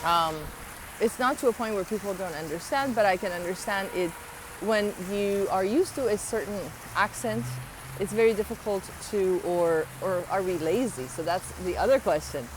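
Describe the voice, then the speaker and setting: A woman's voice: mid-range voice, normal speed. Female vlogger, slight accent, musing and earnest, hesitant fillers, mid-range voice, rainy hiss in background. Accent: slight foreign accent. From abroad, moved to the United States at twelve. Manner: earnest, musing, frequent ums and rising emphatic questions. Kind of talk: casual opinion vlog to viewers.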